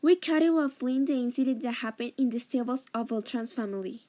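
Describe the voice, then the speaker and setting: A woman's voice: fairly high pitch, quick pace, mellow, lightly acted. A woman playing a respectful servant reporting news to the master in an amateur radio drama. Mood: earnest, deferential.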